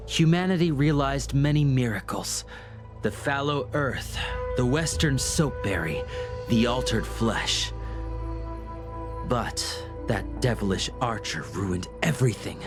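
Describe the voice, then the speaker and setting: A man's voice: mid-range voice, close to an everyday voice. An actor playing a fanatical, unhinged cultist in a video game, gloating theatrically and savoring his words. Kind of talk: video game character acting. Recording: music behind.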